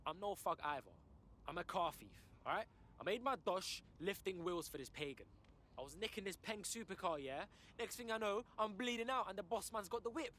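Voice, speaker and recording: An actor playing a brash, streetwise young London car thief in a video game, talking cocky street slang. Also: male voice, sits high, animated, brisk, a bit bright, a little hiss or hum. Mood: aggrieved, scheming. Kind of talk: video game cutscene acting.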